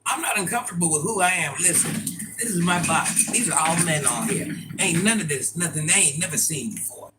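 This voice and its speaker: A middle-aged woman with a deep voice, chatting casually and bluntly as she answers viewer comments in a livestream. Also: animated, fairly quick, loud music behind the voice, low-quality recording. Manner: blunt, sassy, talks straight to commenters.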